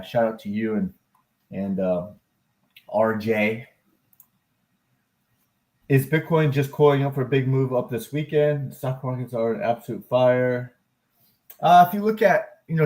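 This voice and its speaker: A man hosting a livestream about crypto and stock charts, talking casually in a rambling, chatty, self-deprecating way and answering his chat. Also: mid-range voice.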